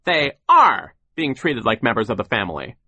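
A bossy, short-tempered mill boss from a storybook. He barks and dismisses with heavy emphasis, his pitch leaping up and swooping around. The sound is dry and close. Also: big pitch swings, measured pace, bright, forceful tone, dry recording, full character voice. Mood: barking, bossy. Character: gruff, bossy, impatient male lumber mill boss.

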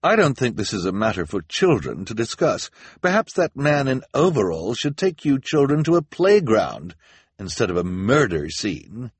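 A man's voice: medium pitch, big pitch swings, moderate pace, slightly rough, dry recording, pitched above the performer's own voice. A man voicing a bossy, pompous policewoman in a storybook, with a grand, declamatory manner. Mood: dismissive.

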